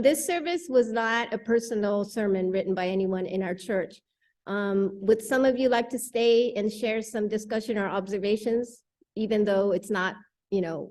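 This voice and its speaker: Woman doing informal church announcements, warm dark tone, calling on people to share. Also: mid-range voice. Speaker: worship associate leading a church discussion. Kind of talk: informal service announcements and moderating.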